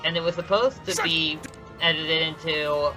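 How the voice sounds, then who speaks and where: A man's voice: on the high side, fairly even intonation, normal speed, poor audio. A man commentating his own speedrun live. He explains each step casually, often restarting sentences, with light chuckles. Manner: explanatory, frequent restarts and self-corrections. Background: game music.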